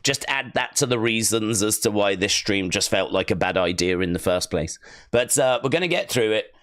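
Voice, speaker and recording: Male streamer, low and worn-out voice, rambling chat, dry close mic. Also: animated, moderate pace, fairly bright tone, no echo. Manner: rambling, repeats himself, talks himself through. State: tired, weak voice. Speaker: live streamer hosting a fight watch-along. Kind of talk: casual live-stream chat. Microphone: streaming microphone.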